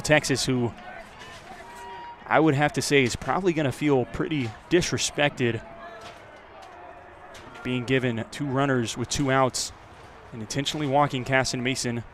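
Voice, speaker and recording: A man with an American accent giving live radio play-by-play commentary of a baseball game, measured between plays and louder on the action. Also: mid-range voice, a little hiss or hum.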